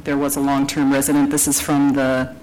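A planning staff presenter who explains a case slowly and deliberately at a public hearing. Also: medium pitch, completely flat delivery, a little mellow, low-quality recording.

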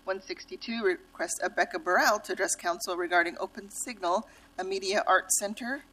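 A woman's voice: medium pitch, a little fast, pushed and bright, low-quality recording. A woman clerk formally reading out agenda items in a council chamber, in a procedural manner. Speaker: meeting clerk.

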